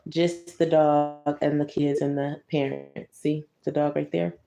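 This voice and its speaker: Echoey, lower-voiced woman reading a bedtime story. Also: level tone, unhurried, gravelly, music in the background. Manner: conversational, explains pictures and comments to a young listener. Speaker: storyteller reading a picture book to a child. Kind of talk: bedtime story read-aloud with casual asides.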